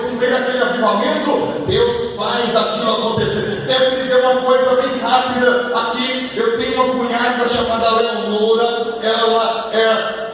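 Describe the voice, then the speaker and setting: A male Pentecostal preacher with a Northeastern Brazilian accent and a very high-pitched voice. He mixes teaching and storytelling, repeating phrases for emphasis. The sound is distant from the mic, with long hall reverberation and faint noise behind. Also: a bit bright.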